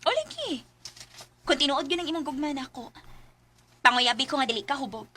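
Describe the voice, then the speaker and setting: A woman's voice: sits high, very animated, brisk, pushed and bright, slight background noise, exaggerated voice. An actress playing a no-nonsense young village woman who scolds a drunk suitor in a radio drama. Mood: scolding. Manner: scolding, firm, unimpressed.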